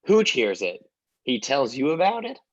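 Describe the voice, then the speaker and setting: A man's voice: medium pitch, big pitch swings, normal speed, highs cut off. A man playing a straight-laced detective. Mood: earnest. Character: straight-laced male small-town detective.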